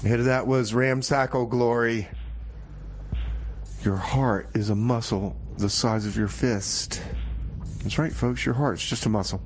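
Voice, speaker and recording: A man's voice: medium pitch, steady tone, lightly acted. Man playing a glum radio DJ, noisy recording. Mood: dejected. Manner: halting, dejected, sighing, repeating himself. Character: glum, self-pitying, hapless male radio DJ Rebar. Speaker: community radio music show host. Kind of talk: comedy radio theater DJ patter.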